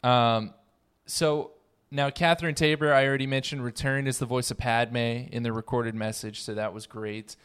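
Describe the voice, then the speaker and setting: A man's voice: medium pitch, steady tone, moderate pace, fairly bright tone, smooth. Enthusiastic man hosting a casual podcast. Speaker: podcast host. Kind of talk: casual roundtable fan discussion.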